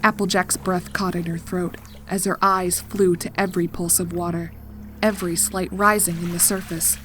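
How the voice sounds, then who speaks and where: A woman narrating a horror story in a deliberate, suspenseful, dramatic style. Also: fairly low pitch, flat delivery, a bit bright. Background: background music.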